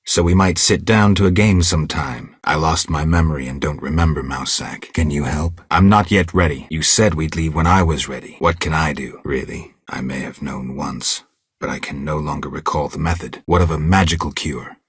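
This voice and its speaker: A man playing a stoic, gruff, world-weary monster hunter in a video game, with terse, deadpan delivery and a gravelly voice. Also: moderate pace, dry recording, clean studio sound, full character voice. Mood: businesslike, reserved. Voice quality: gravelly, husky low voice. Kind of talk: video game character dialogue.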